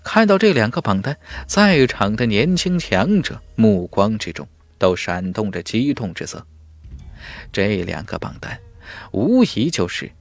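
A man's voice: fairly low pitch, sing-song, measured pace, no echo. A man narrating a fantasy audiobook in a dramatic, emphatic storytelling manner. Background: music.

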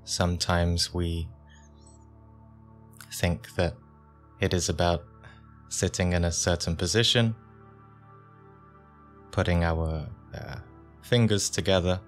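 Male meditation teacher, low register, very slow and calm delivery, short phrases with generous pauses, soft music underneath. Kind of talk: guided meditation instruction.

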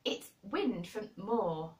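A woman's voice: medium pitch, expressive, extremely slow, a little mellow. A woman voicing a young girl who playfully and boldly puts on a broad Yorkshire dialect in an audiobook story. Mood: cheerful. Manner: broad dialect, bold and emphatic. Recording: roomy echo, distant microphone.

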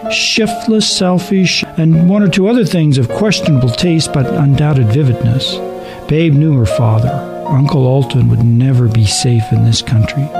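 Male story narrator with music behind. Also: a little high. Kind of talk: scripted dramatic story narration. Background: background music.

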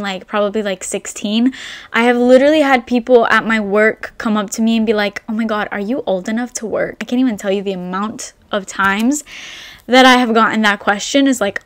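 A young woman from Albania talks casually to the camera, her voice sitting a little high for a woman. She rambles through answers with plenty of "like" and "um". The sound is close and plain, recorded indoors in front of a brick wall. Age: 20s. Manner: chatty, rambling, full of "like" fillers. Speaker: vlogger.

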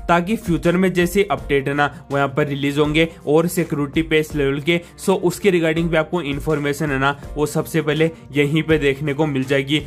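A man giving an informal tech review to viewers, explaining things in a wordy, repetitive way with constant filler phrases. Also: on the high side. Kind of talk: informal phone update review addressed to viewers. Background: background music.

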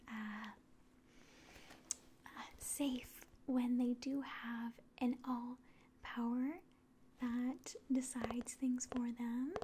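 Young woman whispering softly, ASMR. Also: high-pitched, slight background noise. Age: young adult. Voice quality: breathy, soft whisper. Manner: slow, gentle, hesitant pauses. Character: calm, condescending alien official examining a human. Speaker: ASMR performer. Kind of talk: whispered ASMR roleplay, addressing the listener. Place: small indoor room.

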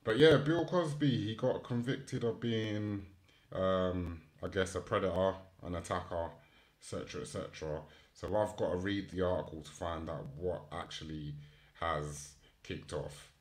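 A man with a low-pitched voice hosts a casual live stream. He speaks informally and unscripted, thinking aloud between bits of news. He sounds like he is running the show himself from a small studio setup. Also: a little slow. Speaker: host of a live online news and chat show. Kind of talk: casual live stream chat and article reading.

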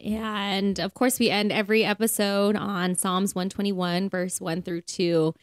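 A woman with a smooth, crisp voice at a middle pitch, recorded close and dry. She co-hosts a faith podcast in a conversational, casual way. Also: little pitch movement, measured pace, dry recording. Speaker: podcast co-host.

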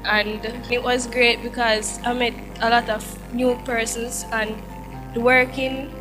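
A young Jamaican woman, a trainee, quickly describing her training into a handheld mic indoors. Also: a little high, little pitch movement, low-quality recording. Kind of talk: short testimonial. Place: indoor room, seated. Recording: background music.

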